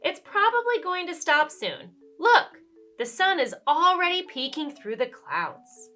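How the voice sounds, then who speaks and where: An eager, proud storybook girl, acted out by a woman reader, keen to explain what she knows. The voice is high and bright, swinging up and down over light music. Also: sing-song, a little slow, full character voice. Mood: hopeful, excited. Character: excited, knowledgeable girl explaining rainbows to a friend. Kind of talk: children's storybook character voice.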